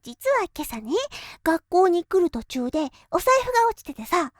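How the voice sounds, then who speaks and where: A woman's voice: extremely high pitch, sing-song, normal speed, no echo, studio quality. A woman playing an easygoing, lazy but kindhearted teenage schoolgirl in an anime-style voice drama, cutesy, naive and breezy. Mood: explaining.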